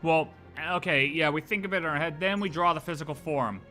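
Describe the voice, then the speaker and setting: A man's voice: a little high, brisk, pushed and bright, soft background music. A young man casually reacting to a game on a live stream, rambling through his theories.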